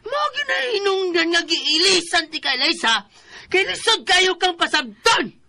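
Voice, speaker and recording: A man acting a drunk, callous, unfaithful partner in a Filipino radio drama, loud and belligerent. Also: very high voice, expressive, unhurried, exaggerated voice. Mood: callous belligerence. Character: drunk, callous, quarrelsome unfaithful husband figure.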